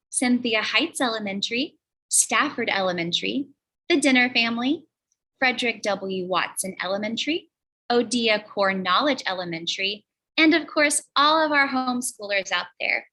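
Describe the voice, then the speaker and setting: A woman hosting an educational show for schoolchildren, reading a scripted introduction in an upbeat, clear, sing-song way. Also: fairly high pitch, pushed and bright. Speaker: host.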